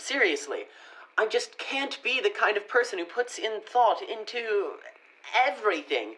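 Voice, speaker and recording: A woman's voice: lowish voice, a bit bright, poor audio. A woman playing a weary, withdrawn boyfriend in a radio play, hesitant and stammering in naturalistic dialogue. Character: William, weary, withdrawn boyfriend fed up with his relationship.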